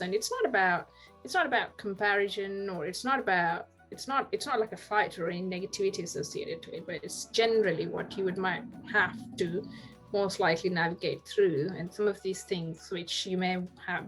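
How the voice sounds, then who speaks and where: A woman's voice: fairly low pitch, low-quality recording. A woman in her thirties, a podcast guest on a video call, talking earnestly and often restarting as she searches for words. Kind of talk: casual video-call interview. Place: home room.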